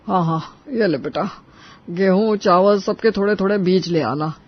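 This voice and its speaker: A man's voice: sits high, normal speed, lo-fi sound. A man playing a stubborn, gullible old father-in-law in a cartoon moral story, with emphatic delivery. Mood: cheerful, bossy. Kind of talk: animated Hindi moral story dialogue.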